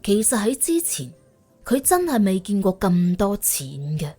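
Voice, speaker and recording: A woman narrating an audiobook in an animated, colloquial storytelling style with a wry, expressive manner. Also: mid-range voice, a little slow, faint music behind.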